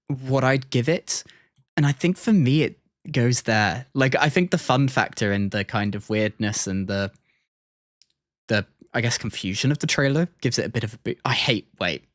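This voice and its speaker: A man's voice: medium pitch, a bit bright, no room sound, clean studio sound. A man giving casual livestream reaction commentary, thinking aloud in a hesitant way. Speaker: streamer.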